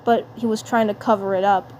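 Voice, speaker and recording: A woman narrating a fan story in an amateur way, haltingly, often repeating phrases. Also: mid-range voice, steady tone, a little mellow, slight background noise, poor audio. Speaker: fan fiction narrator and voice performer.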